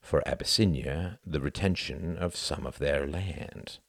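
A man voicing a cool, bored, dry-witted gentleman who speaks languidly. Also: extremely low pitch, unhurried, creaky, dry recording, full character voice. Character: cool, wry male former professor's assistant.

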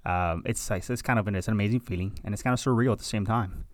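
A man's voice: fairly low pitch, quick pace, no echo, clean studio sound. A man in his thirties from Cuba, answering conversationally as an interview guest in a gracious, effusive manner. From Cuba, moved to the US at six. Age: 30s. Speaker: podcast guest, graduating medical student.

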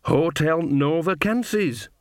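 A man's voice: fairly high pitch, big pitch swings, a little slow, no echo, clean studio sound. A man voicing a cheerful, earthy old woman witch in a storybook, cheeky and folksy in manner. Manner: folksy, mischievous.

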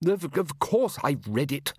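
A man voicing a loud, bossy older male head wizard who blusters and insists on getting his way. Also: on the high side, very animated, a little slow, slightly rough, dry recording, studio quality, voice pushed up, exaggerated voice. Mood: indignant. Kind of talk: audiobook character voice.

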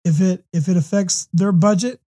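A hoarse, slightly congested man from the United States hosting a faith talk show, speaking earnestly with many ums and restarts. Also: high-pitched, fairly even intonation, normal speed, warm tone. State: hoarse with a runny nose. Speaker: podcast host.

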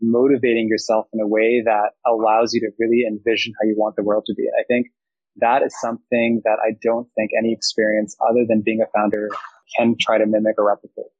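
A man's voice: lowish voice, level tone, fairly quick, no room sound, highs cut off. A young man from Thailand, a startup founder, giving fluent, reflective interview answers full of business terms.

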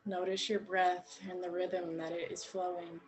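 A woman leads a guided meditation at a very slow pace. Her voice sits a little low, and she speaks gently in short phrases with long pauses. Steady ambient noise runs behind her. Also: poor audio.

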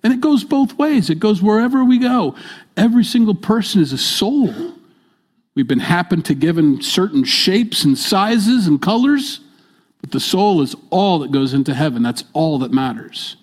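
A man's voice: high voice, expressive, moderate pace. Older man preaching with emphatic repeats. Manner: emphatic, repeats key phrases, swings between loud and soft. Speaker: pastor. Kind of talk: sermon.

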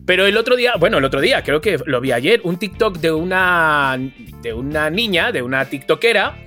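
A man with a distinctly high-pitched voice and an accent from Spain co-hosts a podcast. He talks casually and tells stories in animated, colloquial language, with faint music underneath. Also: normal speed. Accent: Spanish from Spain. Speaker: podcast co-host. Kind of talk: casual chat.

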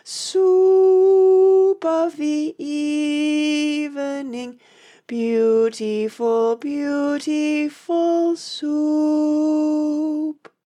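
A woman's voice: sits high, steady tone, drawn-out words, dark tone. A woman playing a doleful, sentimental Mock Turtle with a ponderous, mournful delivery in an audiobook. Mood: mournful singing. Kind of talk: full-cast audiobook character acting.

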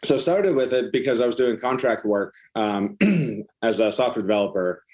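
A man's voice: medium pitch, expressive, normal speed, darkish tone. A middle-aged man from Iceland talking casually as a startup founder and interview guest.

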